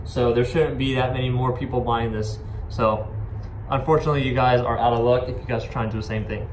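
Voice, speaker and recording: A man's voice: medium pitch, fairly even intonation, fast. A man in his twenties talking casually to camera, explaining things informally. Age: 20s. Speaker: vlogger. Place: inside an empty box truck. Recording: echoey metal space, background noise.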